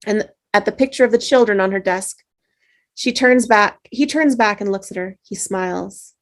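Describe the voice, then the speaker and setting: A woman reading the stage directions at a script table read, steady with small slips. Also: medium pitch, moderate pace.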